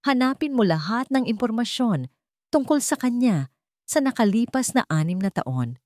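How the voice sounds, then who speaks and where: A woman's voice: a little high, sing-song, normal speed, darkish tone, no echo, studio quality, voice pushed up. A woman voicing a determined young wealthy businessman in a story, terse and businesslike as he gives orders. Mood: urgent, determined.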